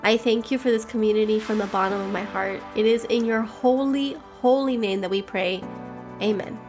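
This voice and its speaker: A woman's voice: medium pitch, moderate pace, music in the background. Stuffy-voiced woman leading a devotional. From Miami, Florida. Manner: earnest, heartfelt, speaks straight to listeners. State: stuffy from a cold. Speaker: podcast host leading devotional prayer. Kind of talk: daily devotional talk and prayer.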